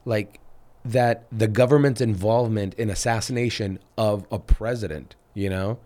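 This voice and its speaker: Male podcast host, 30s, slightly low and raspy from illness, loose rambling delivery. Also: moderate pace, dark tone. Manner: rambling, frequent restarts and fillers. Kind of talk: casual chat.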